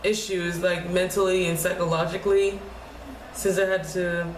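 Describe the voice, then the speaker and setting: A young woman speaking quietly in a low, deep voice, talking haltingly and restarting her words often in a casual personal vlog at home. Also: flat delivery. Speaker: vlogger. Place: home room. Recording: echoey room with faint noise.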